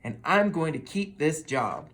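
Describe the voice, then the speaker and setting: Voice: mid-range voice, expressive, unhurried, a bit bright. A storybook reader voicing an earnest, hopeful male horse who is eager and plain-spoken. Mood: contented.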